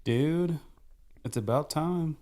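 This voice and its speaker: A man's voice: on the high side, slow pace, warm tone. A young man co-hosting a podcast in casual chat, laid-back and rambling, restarting sentences and swearing casually. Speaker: podcast co-host.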